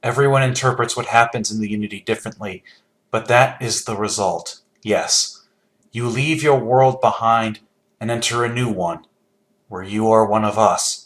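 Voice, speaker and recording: An actor playing a solemn, cryptic otherworldly emissary in a video game, speaking gravely and formally. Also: male voice, fairly low pitch, moderate pace, fairly bright tone, lightly acted. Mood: solemn, mysterious.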